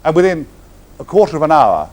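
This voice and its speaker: A man's voice: very animated, fairly quick, background noise. Flamboyant theatrical man spinning a yarn. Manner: grand, florid wording with comic timing and sudden swings in pitch. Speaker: actor telling stories on stage. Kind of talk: theatrical anecdote to a live audience. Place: stage before an audience.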